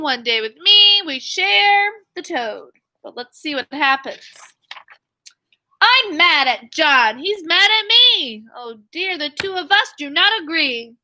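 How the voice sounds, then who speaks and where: A woman's voice: very high voice, bright, forceful tone, rough recording. A woman teacher reading a story aloud to young children, expressively, with questions and asides. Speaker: elementary school teacher.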